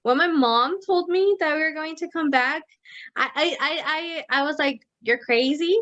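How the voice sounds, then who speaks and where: A woman's voice: high voice, moderate pace, a bit bright. Rambling young woman with slightly dull audio. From United States. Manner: rambling, many fillers and restarts, mixing in Spanish words. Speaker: guest, company co-founder. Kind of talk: casual interview conversation. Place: Mexico City.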